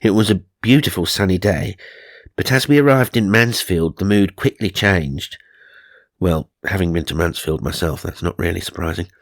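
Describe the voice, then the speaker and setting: A man's voice: low voice, normal speed, no room sound, studio quality. A man narrating supernatural magazine stories for comedy, mock-serious and dramatic, with wry asides. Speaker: narrator and host.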